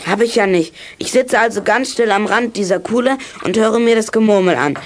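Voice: mid-range voice, brisk, close to an everyday voice. A young performer playing a plucky, boastful boy detective in a German children's radio play from the 1980s.

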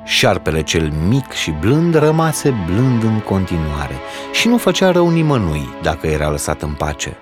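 Man, folk tale narration, lowish pitch, dramatic expressive reading, clear music behind the voice. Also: few pauses. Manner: expressive, dramatic read-aloud delivery. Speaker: storyteller reading folk tales aloud.

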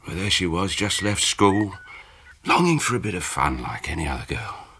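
Bitter middle-aged british businessman in a radio play, low forceful voice, evasive. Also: big pitch swings, moderate pace, a little hiss or hum, exaggerated voice. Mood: wistful. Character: defensive middle-aged businessman, former suitor, resentful and evasive. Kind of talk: British radio drama acting.

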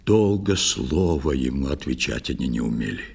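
A man narrating a verse tale in a dramatic audio play, solemn and expressive, with measured phrasing. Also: extremely low pitch, vocal fry. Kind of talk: dramatic verse storytelling for children. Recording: music under the voice. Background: music.

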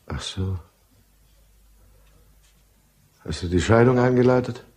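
Male actor, vindictive husband, radio drama, medium pitch, cold contempt, formal, embittered. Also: a little fast, a little mellow, natural voice. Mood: contemptuous.

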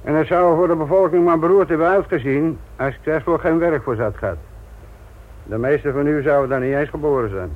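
An actor playing a blunt, sardonic, middle-aged police inspector lecturing trainees in a Dutch radio play. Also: male voice, a little high, brisk, natural voice. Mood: brisk, scornful. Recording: tinny, noisy old radio play recording.

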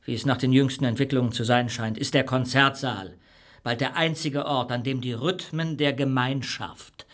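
An actor playing a proud older conductor in a radio play, speaking in a lofty, earnest, declaiming way. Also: male voice, mid-range voice, fairly even intonation, moderate pace, fairly bright tone, close to an everyday voice.

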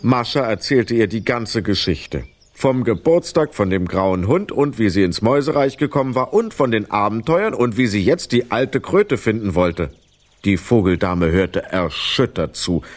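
A man narrating a children's radio play as an expressive storyteller. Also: on the low side, moderate pace.

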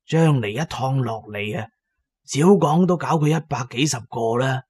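An actor with a Cantonese accent playing a shrewd, talkative antique dealer in a radio drama, expounding knowingly and with emphasis. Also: male voice, medium pitch, lively intonation, moderate pace, a little mellow, husky. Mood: frank, advising.

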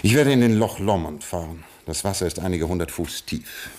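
A man playing an absent-minded British lord in a radio play, speaking formally and with dry, resigned understatement in a gravelly voice. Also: sits low, expressive, brisk, a little mellow. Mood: stately, composed. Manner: formal, hesitant, stately, dryly understated. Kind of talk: German radio play acting.

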